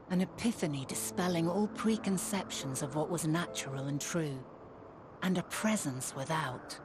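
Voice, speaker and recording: A serene ancient scholar, speaking in a very low, grave voice with slow, reverent, old-fashioned phrasing. Her lines come as game cutscene dialogue over a swelling orchestral score. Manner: slow, solemn and reflective, archaic phrasing.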